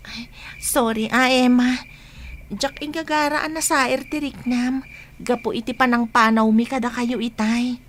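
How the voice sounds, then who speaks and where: A woman's voice: a little high, fairly even intonation, measured pace, slight background noise, close to an everyday voice. A woman playing a chatty, supportive young best friend in an Ilocano radio drama. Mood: apologetic.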